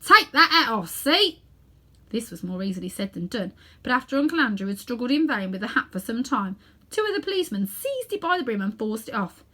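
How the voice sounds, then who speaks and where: A woman reading a children's story aloud in an expressive narrating style. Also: fairly high pitch, fast. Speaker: storybook reader.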